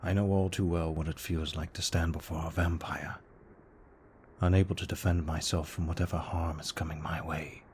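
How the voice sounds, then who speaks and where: A man playing a tormented, courteous vampire in an ASMR roleplay, speaking formally in a hushed, breathy voice that often slips into a whisper. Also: sits low, little pitch movement, a little hiss or hum, natural voice. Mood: pained, restrained. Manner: formal, deliberate, brooding delivery. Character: tormented, courteous male vampire fighting his bloodlust. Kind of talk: ASMR roleplay, slow intimate monologue.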